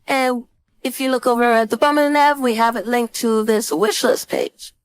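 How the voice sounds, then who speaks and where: High-voiced woman giving a casual tutorial. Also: moderate pace, clear, resonant tone, no echo. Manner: informal, thinking aloud while demonstrating. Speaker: design instructor. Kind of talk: casual screen-share software tutorial.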